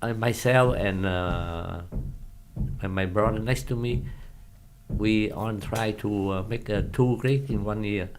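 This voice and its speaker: An older man in his seventies speaks with a Vietnamese accent, his low voice carrying a gravelly rasp. He talks very slowly, halting and searching for words in a language that is not his first. It sounds like a relaxed interview memory. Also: over music. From northern Vietnam. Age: 70s. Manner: halting, many hesitations, non-native grammar. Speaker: guest, former pilot. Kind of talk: casual interview recollection.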